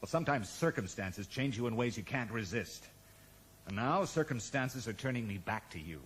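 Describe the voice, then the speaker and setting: An actor in a 1980s British radio drama playing a cornered, cold research scientist who rationalises smoothly and persuasively. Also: male voice, mid-range voice, animated, moderate pace, fairly bright tone, natural voice. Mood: pleading, persuasive. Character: cornered, calculating male research scientist, cold and self-justifying. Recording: reverberant radio drama recording, faint noise.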